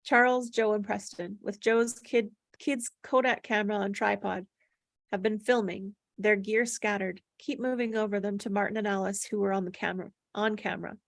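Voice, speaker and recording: A woman's voice: medium pitch, steady tone, moderate pace, creaky, no echo. A woman reading stage directions aloud at a table read, plainly descriptive, with occasional stumbles over the words.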